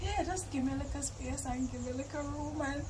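A young adult woman with a Jamaican accent, chatting in an animated, informal way as a musician being interviewed. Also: sits high, moderate pace, slight background noise. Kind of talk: casual interview chat. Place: outdoors on a porch by a garden.

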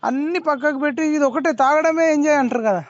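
Very high-pitched Telangana man hosting. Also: brisk, no echo. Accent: Telangana Telugu. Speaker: host taking a phone call. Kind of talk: casual conversational phone chat. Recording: dull, slightly muffled call audio.

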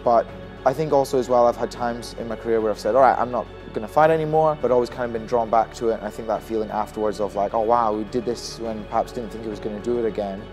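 Fast-talking young man over music. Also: fairly low pitch, steady tone, a little mellow. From Scotland. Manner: quick, chatty, many fillers and restarts. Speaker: Muay Thai fighter and coach. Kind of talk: casual interview. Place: boxing gym, indoors. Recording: music bed under voice.

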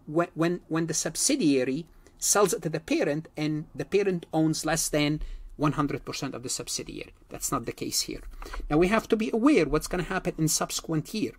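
Male accounting lecturer, slightly high voice, emphatic explainer who repeats key points, dry close recording. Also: no echo. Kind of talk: exam-prep lecture explaining worked examples.

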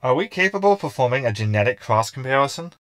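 A cranky robot crewmate from a sci-fi audio comedy, played by a man, wordy and mocking. His pitch is lively and mid-range, recorded very dry with a mean, sarcastic edge. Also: quick pace, dry recording. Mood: dry, curious.

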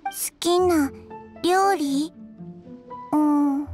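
A woman voicing a blank, gentle undead little girl in a video game, halting and deadpan in short phrases. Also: high-pitched, soft background music. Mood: flat, gentle. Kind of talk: Japanese game voice acting.

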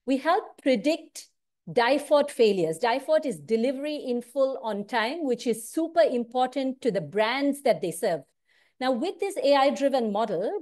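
An earnest, animated woman in her forties, originally from India, speaking as a senior business leader. Her voice sits a little high and she keeps checking in with "right?" as she explains. The sound comes through an earphone mic on a video call from a home room. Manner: earnest, animated, often tags lines with "right?". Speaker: consulting firm managing partner. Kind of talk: direct-to-camera talk on career and work. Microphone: wired earphone mic on a video call.

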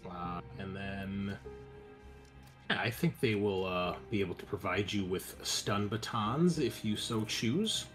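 A man's voice: lowish voice, slow, a bit bright, faint music behind. A man acting as game master, casually narrating and explaining things to players.

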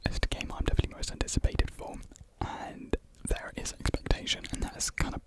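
A British man in his twenties whispering a casual ASMR ramble softly, close to a desktop microphone in a small room. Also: brisk. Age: 20s. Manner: soft unvoiced whispering. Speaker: ASMR video creator. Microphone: close desktop USB microphone.

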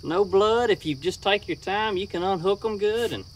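A man's voice: sits high, normal speed. A man, a fishing vlogger giving casual, plain-spoken how-to talk outdoors in a marsh. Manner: relaxed, plain-spoken instructional. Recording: distant mic, outdoor noise.

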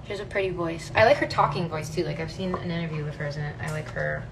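A woman's voice: deep voice, fairly even intonation, quick pace. A young woman chatting casually on a livestream in her home kitchen, reading fan questions aloud and answering them thoughtfully with many "um"s. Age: young adult. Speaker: singer hosting a livestream. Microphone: phone held close, front camera. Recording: distant phone audio with background noise.

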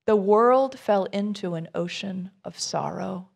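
A woman's voice: medium pitch, unhurried, vocal fry, darkish tone. A middle-aged woman minister reading a rhyming children's story aloud, expressively, with informal asides to her listeners.

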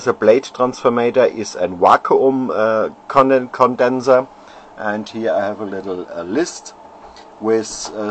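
A man, an amateur radio operator showing his homebrew gear at home, pausing often and searching for words. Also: fairly low pitch, lo-fi sound, slight background noise. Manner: halting, many fillers, searching for words. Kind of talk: casual equipment demo. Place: home radio shack, indoors. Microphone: handheld camera.

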